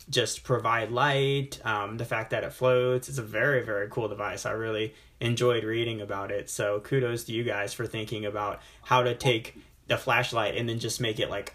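A man hosts a casual interview podcast, voice plain and mid-pitched. He talks with enthusiasm and rambles a little, leaning on "you know" as he builds to his questions. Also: fairly even intonation, moderate pace, fairly bright tone. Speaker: podcast host.

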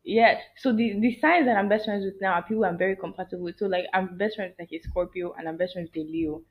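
A woman's voice: mid-range voice, fast talker. A young woman guest on a podcast, chatting casually and acting out her own reactions. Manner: animated storyteller, quotes herself often. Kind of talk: casual chat. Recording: dull lo-fi audio.

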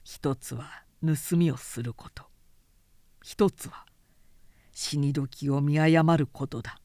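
A woman voicing a calm, principled male samurai in an audiobook, speaking quietly in a low, grave, formal and measured way. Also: no echo, studio quality. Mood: solemn, measured. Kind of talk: literary audiobook character reading.

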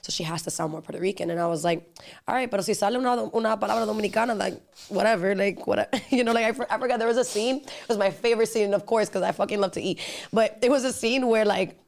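A young woman guest talks at a quick clip in relaxed conversation. She fills her sentences with "like" and switches into Spanish phrases mid-thought. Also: mid-range voice, gravelly. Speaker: guest, singer and actress. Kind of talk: casual interview chat.

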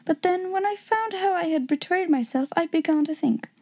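A woman's voice: very high voice, moderate pace, warm tone, voice pushed up. A woman voicing a clever, self-assured lady reading out her farewell letter from a storybook, composed, courteous and formal. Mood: candid, courteous. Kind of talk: storybook letter read in character.

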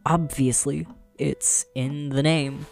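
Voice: mid-range voice, fairly even intonation, slow, gravelly, soft background music. An actor playing an upbeat, goofy young man, a generous friend who chats playfully in a casual audio drama. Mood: mock-indignant. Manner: playful, chatty, mock-indignant.

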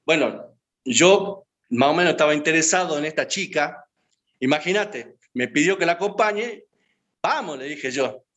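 A man's voice: on the high side, lively intonation, normal speed, a bit bright. A man, answering interview questions and reminiscing in a rambling way, with many hesitations and filler words. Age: 50s. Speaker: guest. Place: his home.

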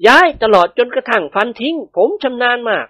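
A woman's voice: fairly high pitch, big pitch swings, brisk, a bit bright, no room sound, clean studio sound, voice pushed up. A woman voicing a cheeky young male servant who answers in a chirpy, mock-polite way. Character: cheeky joking young man, cocky servant.

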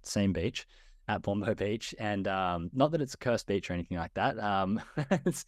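A young Australian man, a guest in a podcast interview, speaking in a relaxed way. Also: on the low side, moderate pace, no room sound, studio quality. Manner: relaxed, many fillers and restarts. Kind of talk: conversational interview.

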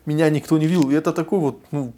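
A man's voice: a little high, steady tone, very fast, mellow, slight background noise. A man interviewing a guest, talking in a reflective, conversational way. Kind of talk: casual conversation.

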